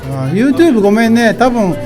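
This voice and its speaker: A woman's voice: on the high side, big pitch swings, fast talker. A woman with a Japanese accent, a native Japanese speaker, chatting with short interjections as host of a casual live radio interview. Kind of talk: casual live radio interview, switching between two languages. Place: busy market pop-up event. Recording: background music and crowd chatter at live event. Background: music, crowd.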